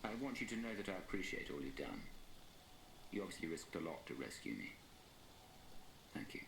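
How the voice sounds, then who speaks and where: An actor playing a grave, authoritative hero in a video game, speaking directly and seriously. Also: male voice, low-pitched, very animated, fairly quick, gravelly, a bit bright, a little hiss or hum, full character voice, poor audio. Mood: sincere, calm. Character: grave, commanding male rescued hero, measured and authoritative. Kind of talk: video game cutscene voice acting.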